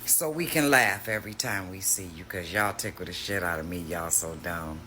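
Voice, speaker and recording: Man, reaction host, mocking, slangy, bright forceful tone, faint noise behind. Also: low voice, moderate pace. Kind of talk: casual reaction commentary.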